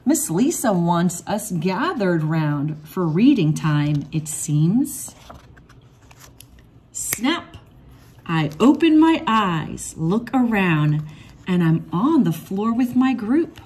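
A woman reading a rhyming children's picture book aloud in an expressive, measured storytelling manner. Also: medium pitch, husky. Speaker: read-aloud storybook reader.

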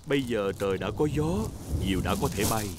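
A man narrating a children's cartoon in simple, clear storybook fashion. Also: on the low side, animated, quick pace, very noisy, lightly acted. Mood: storytelling. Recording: loud music behind the voice.

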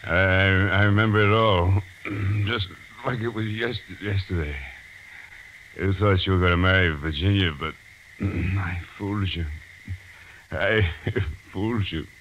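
An actor playing a chummy, smug small-town fellow in a 1940s radio drama, with a folksy, hesitant delivery. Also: male voice, low-pitched, fairly even intonation, measured pace, slight background noise. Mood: smug, woozy. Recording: dull vintage 1940s radio broadcast.

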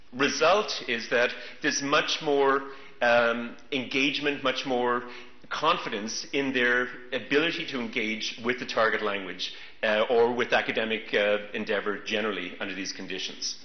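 A middle-aged man lectures from a podium in a large hall, with a mid-pitched voice and a steady, thoughtful delivery full of small pauses. The sound is roomy and a little dull. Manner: measured, thoughtful, many fillers. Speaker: keynote speaker. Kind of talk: conference lecture. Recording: roomy, dull conference recording.

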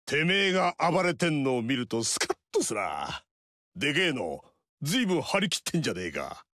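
A man voicing a gruff veteran warlord with a rough, teasing, drawling way of talking in video game dialogue. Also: a little high, sing-song, brisk, a bit bright, dry recording, studio quality. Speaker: warlord character.

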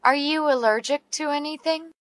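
A woman reading short English practice dialogues aloud, slowly and carefully enunciated. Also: sits high, a bit bright, dry recording. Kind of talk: slow read-aloud English practice phrases.

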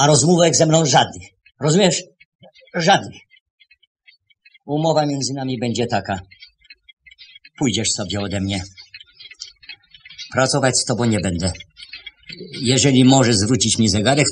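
A man acting in a radio play as a stern, agitated older boss, reproachful yet kind-hearted, speaking haltingly and repeating his words. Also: mid-range voice, close to an everyday voice. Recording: noisy 1970s radio recording.

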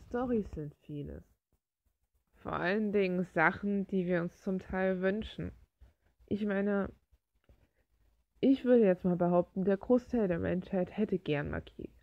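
Slow-paced woman with a creaky voice. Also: fairly low pitch, dry recording. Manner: reflective, with rhetorical questions. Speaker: video creator talking while drawing. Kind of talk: casual rambling monologue, musing.

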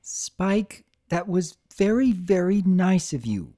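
A woman's voice: lowish voice, very animated, slow, dark tone, voice pushed up. A woman voicing a stern young female pony who lectures indignantly. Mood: lecturing.

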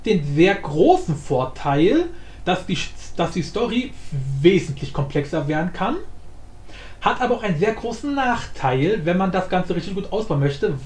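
A man giving an informal screencast tutorial, explaining haltingly, repeating words and often adding little tag questions. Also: high voice. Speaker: tutorial host. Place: indoor room, screen-recording voice-over. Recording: roomy, echoing home recording.

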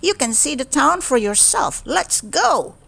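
A woman's voice: sits high, sing-song, unhurried, gravelly, fairly bright tone, voice pushed up, full character voice. A woman voicing a fussy, grumbling male town mouse with an emphatic way of speaking, in a storybook reading. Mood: urging. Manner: complaining, emphatic.

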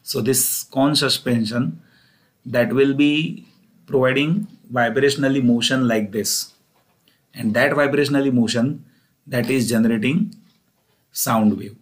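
A man's voice: medium pitch, fairly even intonation, a little slow, pauses often. A man with a mid-range voice delivers an explanatory engineering lecture. He works through the material one step at a time, restating points as he goes.

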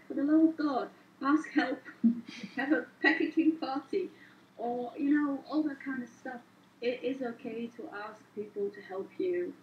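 A woman chatting on a panel, emphatic and encouraging. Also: high voice. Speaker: illustrator panelist. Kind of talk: casual panel chat. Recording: echoey room, dull call audio, faint hiss.

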